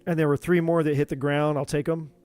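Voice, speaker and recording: A man doing a live tarot reading, talking in an earnest, encouraging way with many repeats, his voice going a bit hoarse. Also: on the high side, little pitch movement, fast, darkish tone, no room sound, faint music behind. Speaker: tarot reader.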